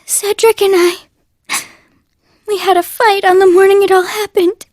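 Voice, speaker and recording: An actress playing a sweet, refined teenage princess in an anime game dub, with genteel, formal phrasing. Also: female voice, extremely high pitch, little pitch movement, normal speed, darkish tone, no echo, studio quality. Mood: distressed, faltering. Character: sweet, poised teenage princess putting on a brave face.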